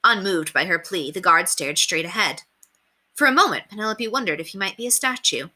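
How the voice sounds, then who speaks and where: A woman narrating a children's story aloud in an expressive read-aloud style. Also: lowish voice, fairly quick.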